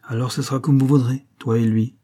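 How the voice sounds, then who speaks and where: A man voicing a frail old female servant in a storybook reading, faint, soft and resigned, gently reproachful. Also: medium pitch, flat delivery, fairly quick, voice pushed up, lightly acted. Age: older. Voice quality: faint, lifeless voice.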